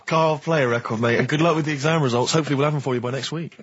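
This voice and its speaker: A man's voice: fairly high pitch, very fast, breathy edge. A man with an English accent co-hosting a casual comedy radio show, asking questions in a dry, mock-earnest way. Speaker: radio co-host. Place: radio studio. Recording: muffled lo-fi radio recording.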